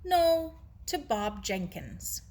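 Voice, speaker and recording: A woman's voice: mid-range voice, big pitch swings, very slow, a little mellow, full character voice. A woman voicing a bashful, earnest young English girl in a storybook, speaking colloquially. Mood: bashful, pleased.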